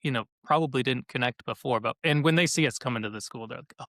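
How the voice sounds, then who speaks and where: A man's voice: mid-range voice, fast, a bit bright, no room sound. Dry-sounding man chatting as a guest. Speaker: podcast guest, educational activity creator. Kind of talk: conversational interview answers.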